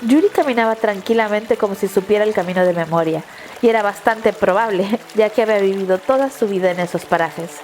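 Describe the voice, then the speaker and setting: A woman narrator reading a scripted dramatic story in a measured, literary storytelling manner. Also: mid-range voice, darkish tone, faint music behind.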